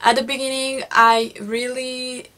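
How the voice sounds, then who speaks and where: A young Hungarian woman with a Hungarian accent, telling her life story in English for her vlog, reflective and unhurried. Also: high-pitched, little pitch movement, sharp tone. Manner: unhurried, reflective, frequent restarts. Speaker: vlogger.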